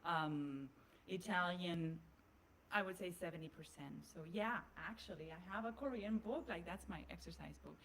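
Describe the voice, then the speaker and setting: A woman's voice: lowish voice, normal speed, a bit bright, slight background noise, low-quality recording. A woman with a German accent, co-hosting a podcast in casual chat, often restarting her sentences as she works through her ideas. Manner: talks through ideas with frequent restarts and fillers. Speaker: podcast co-host.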